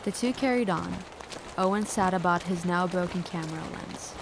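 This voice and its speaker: A woman's voice: on the low side, normal speed, noisy background. A woman reading scripted narration for an audio drama.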